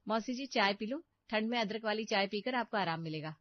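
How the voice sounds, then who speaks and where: A woman's voice: medium pitch, a little fast, close to an everyday voice. A woman voicing a dutiful young daughter-in-law in a Hindi cartoon, speaking respectfully as she explains things. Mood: polite, obliging. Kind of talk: Hindi cartoon family story dubbing. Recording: dull, muffled studio voice track.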